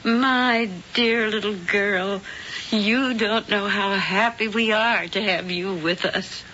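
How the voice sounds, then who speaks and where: An actress playing a sugary older aunt with a hidden agenda, doting and syrupy, in a 1970s radio drama. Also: female voice, medium pitch, fairly even intonation, slow pace, noisy background, close to an everyday voice. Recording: dull old radio broadcast.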